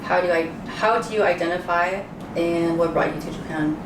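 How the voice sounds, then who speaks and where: A woman hosts and moderates casually in a slightly low voice. Her voice is echoey, with clear noise in the background. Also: normal speed, far from the mic. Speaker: host and interviewer. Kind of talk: casual moderated group interview.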